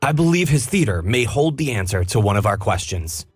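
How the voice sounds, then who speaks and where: An actor playing a calm, inquisitive detective in a game, reasoning aloud in a measured way. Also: male voice, fairly low pitch. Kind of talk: game cutscene voice acting.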